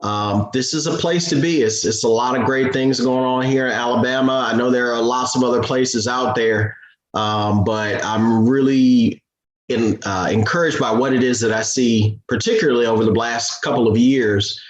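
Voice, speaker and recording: A man from Detroit, a business founder, answers interview questions in a measured, thoughtful way with many pauses. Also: mid-range voice, fairly even intonation, echoey room, low-quality recording. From Detroit, Michigan.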